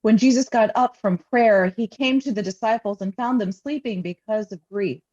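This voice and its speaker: Lady reading bible passage at church service, mellow mid-range voice, deliberate, bit muffled sounding. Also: mid-range voice. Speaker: scripture reader, pastor. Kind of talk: solemn liturgical scripture reading.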